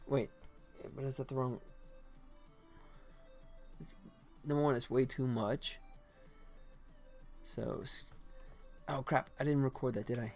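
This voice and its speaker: A man's voice: mid-range voice, fairly even intonation, takes it slowly, pauses often, darkish tone. Casual American man thinking aloud. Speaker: livestream host, game developer. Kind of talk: casual livestream chat while working. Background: music.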